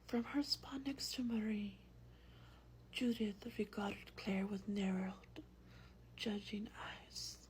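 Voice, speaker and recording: A woman with a breathy whisper reads a story aloud in a slow, hushed, gentle ASMR style, as a vampire host close to the microphone. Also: mid-range voice, low-quality recording. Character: gentle female vampire host reading a bedtime book. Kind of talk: soft-spoken ASMR roleplay and book reading. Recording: faint background noise.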